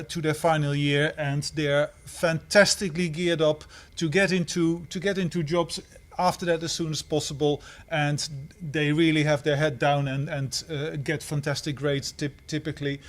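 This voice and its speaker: A man from the Netherlands with a slightly high voice speaks as a head of school. He talks haltingly, stopping and restarting his phrases often. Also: little pitch movement. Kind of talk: answering questions on a livestream.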